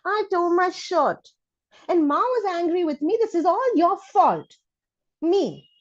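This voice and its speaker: A woman voicing a resentful, curious schoolchild's letters in an expressive, candid read-aloud from a children's storybook. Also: very high-pitched, slow pace, muffled recording, pitched above the performer's own voice, full character voice. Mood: upset, resentful.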